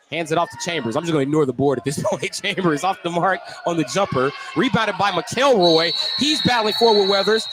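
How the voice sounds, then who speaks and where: A man calling live high school basketball on radio, stretching out his phrases on big plays. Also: noisy background. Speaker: play-by-play sports announcer.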